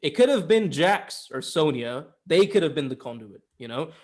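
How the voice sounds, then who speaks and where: A man's voice: a little high, big pitch swings, moderate pace. A man co-hosting a casual film discussion, argumentative and emphatic, with some casual swearing. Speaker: podcast co-host reviewing films.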